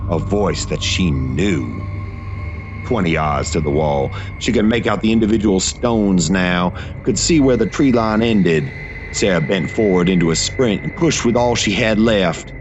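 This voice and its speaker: A man plays a gracious, long-lived male teller of gothic horror tales. His voice sits low and he speaks with solemn, formal courtesy, as in an audio drama. Soft music runs underneath. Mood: urgent, suspenseful. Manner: folksy, formal, courteous address. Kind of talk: scripted gothic horror narration, storytelling.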